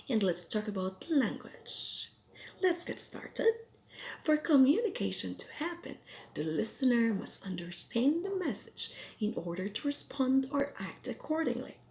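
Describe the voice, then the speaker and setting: A Filipino woman whose first language is Cebuano, teaching English expressions in a careful, explaining lesson. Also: fairly high pitch. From Philippines. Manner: slow, careful explaining with quoted example phrases. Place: home in Ireland.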